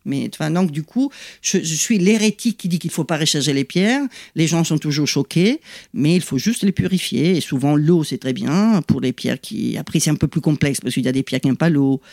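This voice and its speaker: A middle-aged Italian woman talks in French with a clear Italian accent, since it is not her first language. She explains in a lively, animated way, breaking off to restart and checking in with her listener. The room sound is dry, with no echo. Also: low voice, a little fast. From Italy. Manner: animated and explanatory, frequent "tu vois" asides and restarts. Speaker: guest, lithotherapist. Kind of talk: conversational interview explanation.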